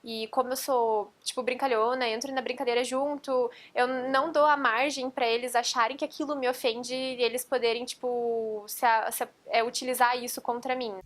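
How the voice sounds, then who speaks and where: A woman's voice: a little high, flat delivery, normal speed, few pauses. A young woman talking casually in an interview, chatty and full of fillers. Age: young adult.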